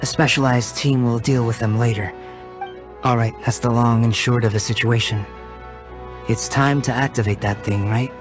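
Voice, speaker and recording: Calm, analytical game strategist, male actor: medium-pitched, measured explainer, faint music behind. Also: close to an everyday voice. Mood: composed. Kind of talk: video game voice acting.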